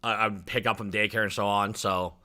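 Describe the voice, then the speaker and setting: A man's voice: fairly low pitch, flat delivery, brisk, sharp tone. A man in his thirties whose first language is Chinese, hosting a casual reaction stream and rambling with many "like" fillers. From China. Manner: rambling, heavy use of "like" fillers. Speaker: livestream reaction host.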